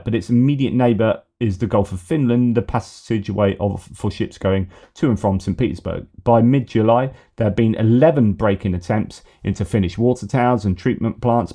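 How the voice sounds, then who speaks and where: A man with a low, warm, relaxed voice talks through the day's news articles from a desk microphone. He reads the quotes out and keeps stopping for casual asides to his viewers, correcting himself as he goes. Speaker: news commentary host.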